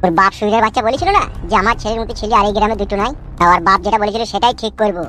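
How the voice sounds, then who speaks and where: A man's voice: high voice, very fast, sharp tone, faint music behind. A man voicing a scheming village gang boss in a cartoon comedy, boastful and conspiratorial. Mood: gloating.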